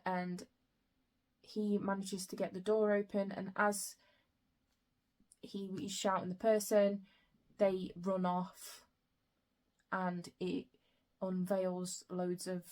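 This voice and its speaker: A young woman chatting casually about her reading in a book vlog, her throat a bit croaky, with frequent soft fillers. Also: mid-range voice, flat delivery, takes it slowly, long pauses. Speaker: book vlogger.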